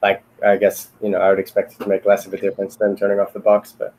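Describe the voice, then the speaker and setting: Low, even-toned man, hesitant and rambling. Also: fast talker, rough recording. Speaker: software team member in a retrospective meeting. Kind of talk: casual remote meeting chat.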